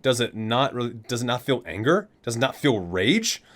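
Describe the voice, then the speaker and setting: A man hosts an ASMR read-aloud in a mid-pitched voice. His delivery rises and falls widely, with deliberate dramatic emphasis on each entry he reads. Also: big pitch swings, fairly bright tone. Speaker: host reading aloud for an ASMR video. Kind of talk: ASMR read-aloud of game item descriptions.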